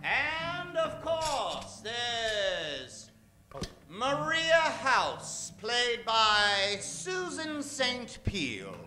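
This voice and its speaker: Male radio announcer for a western, high voice, emphatic old-time delivery with stretched-out names, prominent music underneath. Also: huge pitch swings, extremely slow. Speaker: announcer introducing a radio play cast. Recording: music behind the voice.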